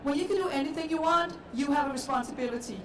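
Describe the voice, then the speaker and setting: A woman's voice: high voice, fast, crowd noise. A woman with a Danish accent, speaking earnestly and with emphasis as a panelist at a conference. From Denmark. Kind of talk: panel discussion. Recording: echoey hall, distant mic, noisy.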